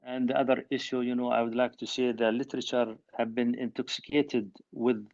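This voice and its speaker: Halting professor speaking over muffled audio. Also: male voice, mid-range voice, little pitch movement, darkish tone. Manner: measured, halting, many filler pauses. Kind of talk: conference talk.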